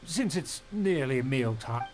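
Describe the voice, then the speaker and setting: A man's voice: medium pitch, expressive, slow, slight background noise. An actor playing a haughty, fussy nobleman in a video game, with formal, old-fashioned and pompous diction. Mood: delighted, dignified. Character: pompous, haughty aristocratic male noble, fussy about etiquette.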